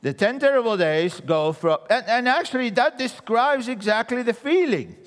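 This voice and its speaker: Animated preacher with an Argentine accent. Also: male voice, normal speed, long reverb tail. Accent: Argentine Spanish. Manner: animated storyteller, drops voice low for punchlines. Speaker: guest preacher. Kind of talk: sermon with humorous stories.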